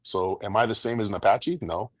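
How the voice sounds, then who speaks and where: A man's voice: lowish voice, fairly quick, dry recording, studio quality. A man from the United States hosting a casual discussion, making his points emphatically and often repeating words as he builds them. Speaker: podcast host.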